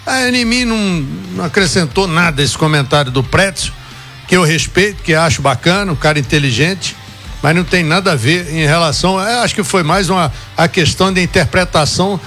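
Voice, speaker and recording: A man commentator sounds off with firm opinions on a radio football talk show. His voice is high and bright, with faint music running underneath. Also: brisk. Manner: opinionated, confident. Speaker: sports commentator. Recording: radio broadcast with music behind.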